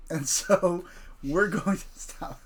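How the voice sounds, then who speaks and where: Low-voiced woman prompting a kid's story. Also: takes it slowly, a little hiss or hum. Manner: prompting, questioning, recapping the child's ideas. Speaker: host. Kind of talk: casual livestream storytelling.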